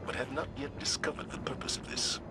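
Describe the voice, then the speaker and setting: An actor playing a stern, resolute military commander in a video game, speaking in a measured, authoritative and grave way. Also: male voice, mid-range voice, fairly even intonation, whispered, over loud music, natural voice. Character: stern, resolute male military commander rallying his troops. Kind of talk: video game voice acting, solemn military briefing.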